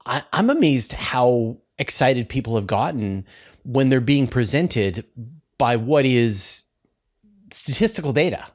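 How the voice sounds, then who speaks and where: Guy hosting a science chat, average pitch, casual and keen. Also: expressive, a little slow. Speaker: interview host. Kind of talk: casual conversational interviewing.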